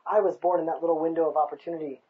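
A man's voice: a little high, steady tone, rapid-fire, phone-quality sound. A man in his thirties from the United States, speaking passionately in an outdoor interview on a hilltop overlook, recorded with a lapel mic. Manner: passionate, emphatic, rhetorical.

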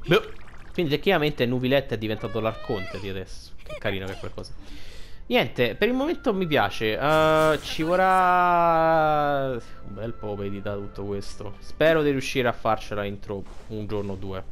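A man's voice: mid-range voice, very animated, normal speed, a bit bright. A man giving casual, unscripted gameplay commentary, rambling and thinking aloud in slang. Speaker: gaming video host.

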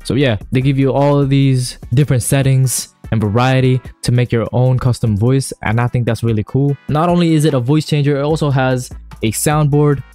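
Man, mid-pitched voice, casual tutorial narration, slangy, enthusiastic, background music. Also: steady tone, moderate pace, dark tone. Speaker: YouTuber host. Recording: music under the voice.

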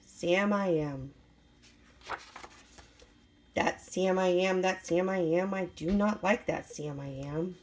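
A woman's voice: on the low side, takes it slowly, pauses often, slight background noise. A woman teacher reading a children's story aloud expressively, with rhythmic phrasing and acted dialogue. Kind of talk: expressive picture-book read-aloud.

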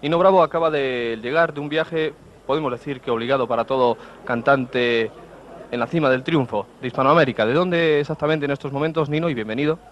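A man's voice: mid-range voice, fairly quick. A young man from Spain working as a TV interviewer, formal and wordy, rephrasing his questions as he goes, on a handheld mic. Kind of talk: TV reporter questions and presenting. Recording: muffled 1970s TV film sound.

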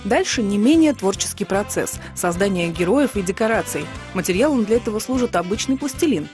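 A woman's voice: mid-range voice, expressive, brisk, a little mellow. A woman reporter reading scripted narration for a television feature. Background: music.

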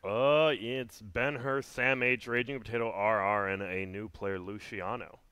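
A man's voice: on the low side, steady tone, measured pace, pushed and bright, smooth, no echo. A man casting esports in casual, chatty banter on a headset. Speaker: esports caster. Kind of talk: casual banter on a game broadcast.

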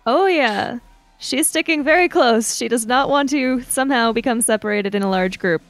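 A woman's voice: a little high, normal speed, faint music behind. A woman player in a tabletop roleplaying game, casually describing her character in the third person.

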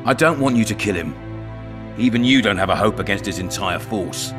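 An actor playing a cunning, blunt and sardonic town commandant in a dark fantasy video game. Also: male voice, fairly low pitch, expressive, fairly quick, close to an everyday voice. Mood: scheming, sardonic. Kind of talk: dramatic video game acting. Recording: music behind the voice.

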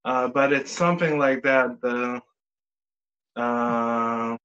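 A man with an Israeli accent, a native Hebrew speaker, chatting as a podcast guest in a halting way with many fillers. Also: medium pitch, fairly even intonation, sharp tone, highs cut off. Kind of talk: casual interview chat.

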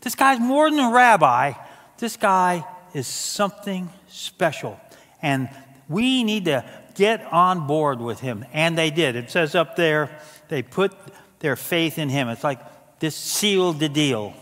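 A man in his sixties preaching as a pastor, conversational yet emphatic, with deliberate pauses. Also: fairly high pitch, animated, fairly bright tone. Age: 60s. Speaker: executive pastor. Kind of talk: sermon. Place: stage of a large church auditorium. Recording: hall reverb from a large auditorium.

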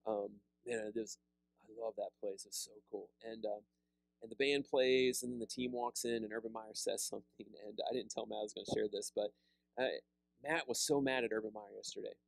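A pastor in his thirties preaching a sermon, seated with a handheld mic, animated and conversational, often repeating words as he restarts. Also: on the low side, a little fast, creaky, no room sound, low-quality recording. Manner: animated preaching with big hand gestures, rising loud on emphasis. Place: church fellowship hall, seated on a stool.

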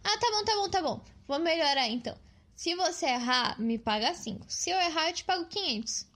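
A woman's voice: extremely high pitch, animated, a little fast, a bit bright, exaggerated voice. A woman voicing a teasing, bossy young girl in a Brazilian children's cartoon, exclaiming and scolding. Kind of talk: children's cartoon comedy dialogue.

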